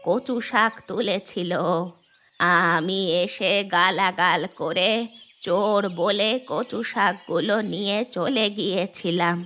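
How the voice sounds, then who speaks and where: A woman's voice: mid-range voice, fairly even intonation, takes it slowly, sharp tone, faint music behind. A woman voicing an elderly, repentant village grandmother in a children's cartoon, plain-spoken and earnest. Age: older. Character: elderly village grandmother, once stingy, now repentant and generous. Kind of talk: children's cartoon character acting.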